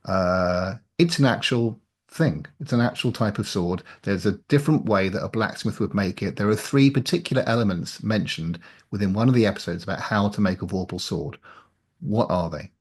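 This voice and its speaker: Low-voiced man chatting haltingly. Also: husky. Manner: halting, stop-start delivery. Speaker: interview guest, audio drama writer. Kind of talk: casual chat.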